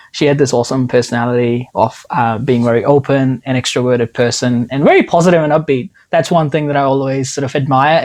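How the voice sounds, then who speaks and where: A man hosting a podcast, asking questions casually and hesitantly, with plenty of fillers. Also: fairly high pitch. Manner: hesitant, many fillers and restarts. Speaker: podcast host. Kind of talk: casual interview.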